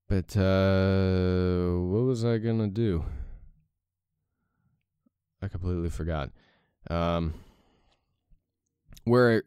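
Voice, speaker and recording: A young man with a deep morning voice hosting a let's play, chatting casually with lots of fillers. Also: darkish tone, clear, resonant tone, no echo, studio quality. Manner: rambling, many fillers, self-deprecating. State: morning voice with a crack. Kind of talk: casual gameplay commentary.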